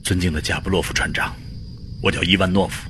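Male actor as desperate middle-aged father, low voice, halting emotional pleas, radio drama, noisy recording. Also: steady tone, sharp tone. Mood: earnest, polite.

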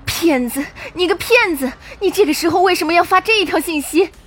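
A woman's voice: extremely high pitch, a little fast, fairly bright tone, a little hiss or hum. An actress playing a loving, frightened mother who comforts her small children in a soothing, motherly way in an audio drama. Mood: anguished, angry.

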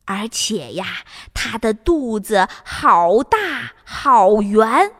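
Animated, high-voiced woman storyteller. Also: drawn-out words, long reverb tail. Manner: expressive, spoken to young listeners with sentence-end particles. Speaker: children's storyteller. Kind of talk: animated fairy-tale narration for children. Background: music.

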